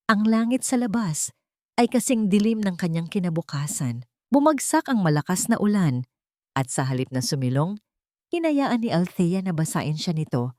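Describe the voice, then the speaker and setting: A woman narrates a romantic drama in scripted storytelling style. Her voice sits on the low side for a woman. The recording is close and dry, with no room sound. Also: darkish tone, no room sound.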